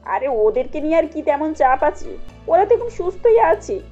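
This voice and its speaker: A woman's voice: high voice, big pitch swings, moderate pace, warm tone, lo-fi sound, soft background music. A woman voicing a pushy, self-important middle-aged mother-in-law in a cartoon, breezy and falsely sweet as she makes demands. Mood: sweetly demanding. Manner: breezy, insistent, polite demands.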